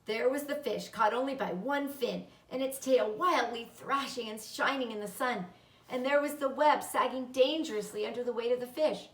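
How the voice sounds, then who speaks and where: A woman voicing a calm, motherly female spider storyteller who speaks in a measured, encouraging way. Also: a little high, lightly acted. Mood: storytelling. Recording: roomy, echoing, distant microphone.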